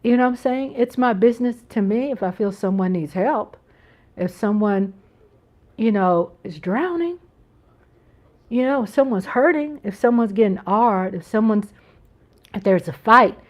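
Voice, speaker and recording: A woman's voice: medium pitch, animated, moderate pace. An American woman hosting a casual live-stream chat from home, talking in an earnest, rambling way with frequent restarts. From United States. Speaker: channel host.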